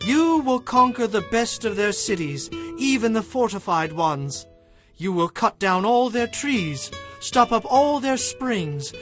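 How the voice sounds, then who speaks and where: A stern prophet of old in an audio Bible drama. His high voice declaims solemnly, with faint music behind it. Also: a bit bright. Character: stern male Hebrew prophet proclaiming oracles.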